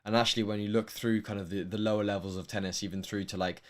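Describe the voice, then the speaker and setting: A young man with a posh London accent hosts a tennis livestream, speaking in a fairly low voice. He talks casually and rambles, restarting sentences and filling gaps with ums. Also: steady tone, fast. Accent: posh London English. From England. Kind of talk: casual livestream chat answering viewer questions.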